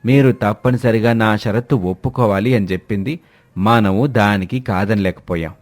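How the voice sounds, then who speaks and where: An exasperated father-in-law from a cartoon moral story, acted by a man. He grumbles about his household in a lowered voice. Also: normal speed, close to an everyday voice. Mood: grumbling. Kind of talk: animated moral-story voice acting.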